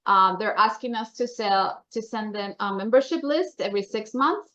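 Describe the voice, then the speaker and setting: The audio is slightly dull and lo-fi. A woman with a Colombian accent and a medium-pitched voice presents announcements to members. Her delivery is informal, chatty and upbeat. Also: normal speed, sharp tone.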